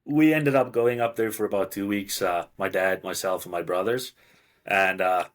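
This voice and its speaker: A man from South Africa with a South African accent, a podcast guest telling hunting stories in a relaxed, plain way. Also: low-pitched. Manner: relaxed, plain storytelling with small pauses. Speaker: guest hunter. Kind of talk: casual storytelling.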